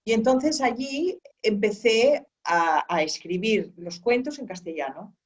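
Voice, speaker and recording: A woman guest in an interview, telling a long story in a relaxed, rambling way with many restarts. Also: on the high side, measured pace. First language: Spanish. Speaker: author and guest. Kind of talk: conversational interview. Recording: dull lo-fi sound.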